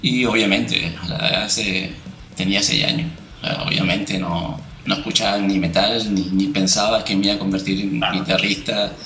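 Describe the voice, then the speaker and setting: A man from Chile who grew up in Venezuela, telling stories in a relaxed, chatty way as an interview guest. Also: sits low, normal speed, reverberant, faint music behind, poor audio. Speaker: guitarist, guest. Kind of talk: casual interview.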